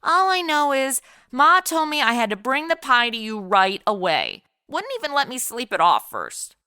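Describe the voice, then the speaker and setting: Woman playing a bright, flippant party girl. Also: high-pitched, big pitch swings, moderate pace, smooth, exaggerated voice. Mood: sarcastic. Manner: slangy, sarcastic, blasé. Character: flippant, sarcastic modern party girl in the Gold Rush. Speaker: lead character and narrator. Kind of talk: comedy audio drama acting and narration.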